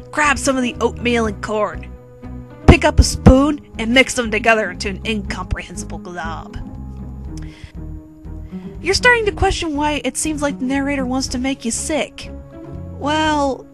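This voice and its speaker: High-voiced woman narrating with playful wryness. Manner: playful, wry, addresses listener directly. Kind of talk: dramatic comic story reading. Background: faint music.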